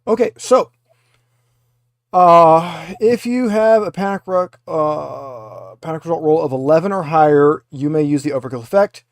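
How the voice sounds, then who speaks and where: A man's voice: a little high, a little slow, no echo, clean studio sound. A man running a tabletop roleplaying game as game master, explaining rules and guiding players step by step.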